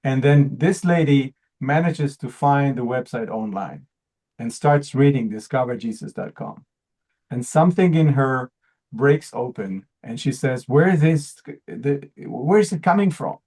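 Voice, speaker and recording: A man's voice: mid-range voice, lively intonation, a little slow, a little mellow. A man whose first language is Hebrew gives an earnest presentation, often repeating words as he looks for the phrasing. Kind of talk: pitch presentation to an audience.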